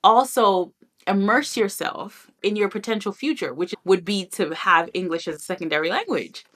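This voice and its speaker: A woman's voice: medium pitch, moderate pace, a bit bright. A woman hosts a podcast interview in a mid-range voice. She sounds enthusiastic and affirming, and she often stops to reflect on what her guest says. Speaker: podcast host.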